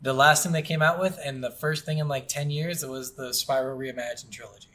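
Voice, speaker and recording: A man's voice: medium pitch, steady tone, brisk, pushed and bright. A man co-hosting a video game podcast, chatting casually and bluntly through a review.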